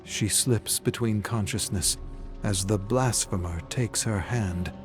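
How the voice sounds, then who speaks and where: Guy narrating dark fantasy, real slow and serious, kinda low, dramatic, music going behind him. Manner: grave, deliberate, theatrical.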